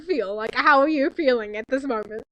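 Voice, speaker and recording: A young woman with a very high voice talks casually, as if on a call with a friend. She is giggly and slips into mock-dramatic sarcasm. The sound is close and dry. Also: brisk, sharp tone, no echo, lo-fi sound. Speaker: co-host reading fanfiction.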